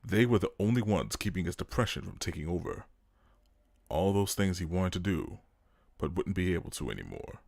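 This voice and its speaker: A man's voice: sits low, very animated, normal speed, gravelly, a little mellow, no echo, clean studio sound. A man narrating a dramatic scripted story.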